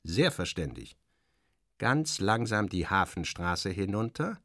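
A man's voice: low voice, sing-song, slow, a bit bright, no echo, clean studio sound, exaggerated voice. An actor playing a sardonic, self-assured journalist, narrating his travels in a literary radio drama with wry, theatrical, clipped phrasing. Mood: approving, dry. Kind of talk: literary first-person narration, dramatic audio reading.

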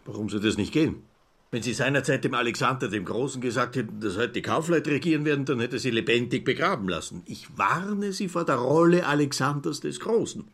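An actor playing a garrulous Viennese newspaper editor and amateur philosopher in a radio drama, lecturing pompously in an Austrian accent with a husky voice. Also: male voice, medium pitch, expressive, a little fast, fairly bright tone. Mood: grandly prophetic. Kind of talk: literary radio drama dialogue.

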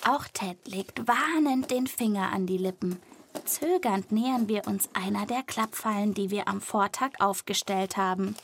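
A woman presenting a children's podcast as an animal reporter, in lively scripted narration addressed to young listeners. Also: mid-range voice, unhurried, a little hiss or hum.

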